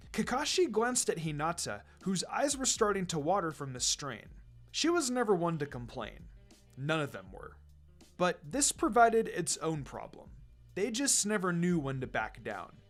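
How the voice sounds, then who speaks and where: A man narrates a story with a lively, varied delivery and a slightly high pitch. Music plays behind his reading. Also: a little slow. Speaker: fan fiction reader. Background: background music.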